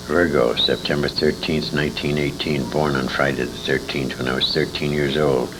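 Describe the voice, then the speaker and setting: A man's voice: fairly even intonation, normal speed. An American man in his sixties telling anecdotes in an interview, in a dramatic, emphatic and salty storytelling manner. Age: 60s. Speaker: interviewee, former radio actor. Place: recorded interview at a convention. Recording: noisy 1980s amateur tape with rumble.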